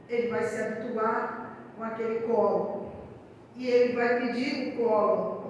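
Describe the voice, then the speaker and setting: A hoarse woman with a Brazilian Portuguese accent gives a spiritist talk, speaking deliberately and often checking in with her audience. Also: fairly high pitch. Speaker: spiritist lecturer. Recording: echoey hall, distant mic, background noise.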